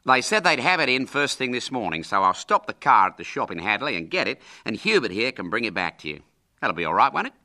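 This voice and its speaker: A man voicing a jovial, breezy uncle in a storybook, brisk and exclaiming. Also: medium pitch, very animated, bright, forceful tone, voice pushed up, heavily acted. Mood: jovial, hearty.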